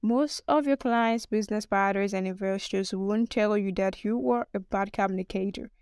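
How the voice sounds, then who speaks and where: A woman's voice: mid-range voice, normal speed, a little mellow, clean tone, no room sound, studio quality. A woman from Nigeria with a Nigerian English accent, giving an emphatic podcast lesson as a business English coach who speaks straight to the listener. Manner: emphatic, addresses the listener directly.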